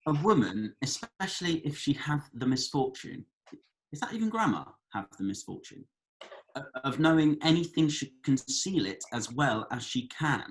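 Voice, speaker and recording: A man's voice: mid-range voice, very animated, normal speed, rough recording. A man reading the part of an easy-going, teasing young working-class man in a comedy script, playful and colloquial. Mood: reading aloud. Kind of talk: table read of a comedy screenplay.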